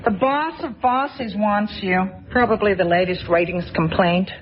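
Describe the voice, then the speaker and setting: An actress playing a brisk wife in a radio drama, teasing her husband awake. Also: mid-range voice, muffled recording, close to an everyday voice.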